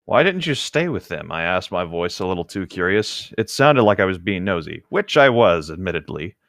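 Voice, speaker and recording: Deep-voiced man reading aloud, wry and casual. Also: big pitch swings, moderate pace, creaky, fairly bright tone, dry recording, studio quality. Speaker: co-host reading a novel aloud with commentary.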